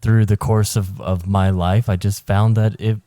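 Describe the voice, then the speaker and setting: A man's voice: on the low side, flat delivery, measured pace, mellow, dry recording, studio quality. A man in his thirties co-hosting a podcast in casual chat, hesitant, with many uhs and restarts. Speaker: podcast co-host.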